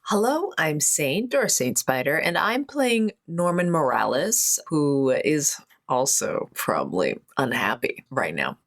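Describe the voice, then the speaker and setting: A woman chatting casually as a player in a tabletop game, with hesitant, halting phrasing. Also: low voice, very animated.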